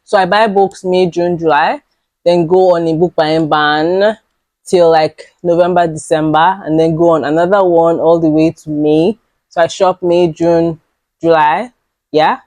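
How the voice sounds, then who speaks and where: A young woman with a raspy voice, chatting casually to camera about books she bought, rambling with fillers. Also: fairly low pitch, no echo. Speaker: book vlogger.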